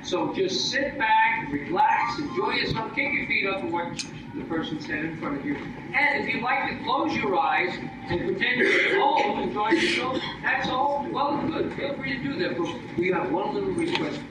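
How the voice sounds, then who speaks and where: A man's voice: sits high, animated, moderate pace. A man giving casual, jokey and slightly hesitant announcements to an audience before a live show. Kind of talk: casual joking audience address. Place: live audience hall, heard from afar. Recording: distant, echoing, noisy live hall recording.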